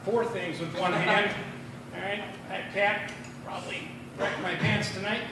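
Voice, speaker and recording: A middle-aged American man doing a rambling, halting stand-up comedy set at an open mic in a bar. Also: sits high, level tone. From Minnesota, United States. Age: 40s or older. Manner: rambling, halting delivery with tangents. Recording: very echoey, distant room recording, clear noise.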